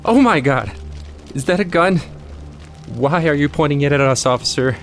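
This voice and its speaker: Man acting teen boy, slightly high voice, strained, earnest, pleading, audio drama over music. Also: lively intonation, normal speed. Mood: alarmed.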